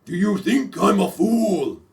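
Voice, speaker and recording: A performer voicing a mean, hungry male dragon in a storybook, with a drawn-out, menacing delivery. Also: mid-range voice, animated, creaky, recorded from a distance, voice pushed up.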